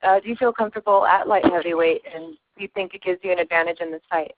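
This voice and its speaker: A woman's voice: fairly low pitch, quick pace, phone-quality sound, noisy background. A woman sports reporter asking prepared interview questions over a phone conference line.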